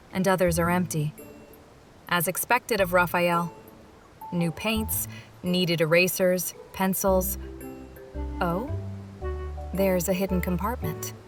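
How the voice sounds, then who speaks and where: A woman reading a scripted first-person game story, moving between narration and dialogue. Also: on the low side. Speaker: voice-over reader of a game story.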